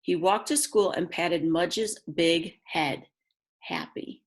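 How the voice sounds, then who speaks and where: A woman's voice: on the low side, takes it slowly. A woman teacher reading a children's book aloud, speaking expressively and directly to young listeners with chatty, simple asides.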